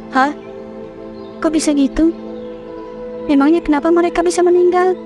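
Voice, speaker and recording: A woman's voice: very high voice, fairly even intonation, normal speed, music in the background. A woman voicing an anxious young village wife in a horror cartoon, calling out and pleading. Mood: shocked. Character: young village woman searching for her husband.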